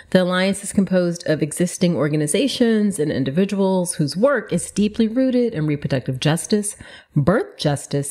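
Low-pitched, slightly stuffy woman podcast host. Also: a little mellow. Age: middle-aged. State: slightly stuffy nose from allergies. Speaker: podcast host and OB-GYN doctor. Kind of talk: scripted solo podcast narration with conversational asides.

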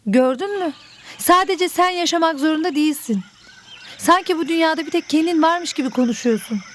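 A woman's voice: very high-pitched, a little fast, slight background noise. A woman playing a fair-minded, scolding pupil in a children's educational cartoon. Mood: admonishing. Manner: admonishing.

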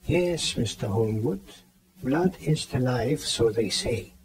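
An actor playing a grave, authoritative physician-professor in a radio drama, giving decisive, clipped instructions. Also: male voice, mid-range voice, lively intonation, slow pace. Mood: measured, musing.

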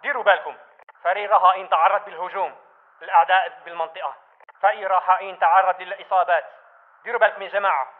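An actor playing an urgent militant radio operator in a video game, through a radio filter. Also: male voice, high voice, steady tone, rapid-fire. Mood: urgent warning. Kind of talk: urgent combat radio report. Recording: tinny narrow-band radio.

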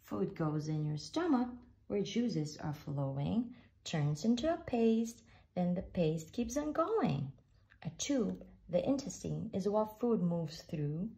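A woman reads a rhyming children's book aloud at an unhurried pace, her voice dipping and rising expressively. Her tone is dark and mellow with a gravelly edge of fry underneath. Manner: slow, expressive read-aloud with lively pitch. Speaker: storybook reader.

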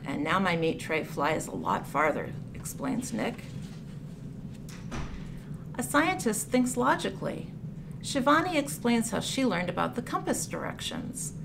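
A woman reading a picture book aloud for children, with deliberate, clearly phrased storytelling delivery. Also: mid-range voice. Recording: clear background noise, room ambience.

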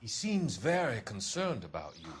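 A man acting as a smooth psychiatrist in a video game, soothing a patient in a deliberate, persuasive manner. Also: medium pitch, wildly swooping pitch, a little hiss or hum. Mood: calm, probing. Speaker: doctor.

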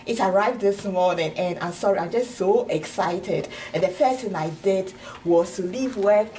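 A woman's voice: medium pitch, moderate pace, dark tone, close to an everyday voice. A middle-aged woman with a Ghanaian accent acting an eager, apologetic immigrant in an amateur comedy sketch, gushing and stumbling over her words. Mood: pleading, insistent. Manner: gushing, hesitant restarts. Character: excitable, eager, apologetic immigrant woman with a new green card. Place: indoor office waiting room and counter. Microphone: far camera. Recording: roomy, distant camera mic with hiss.

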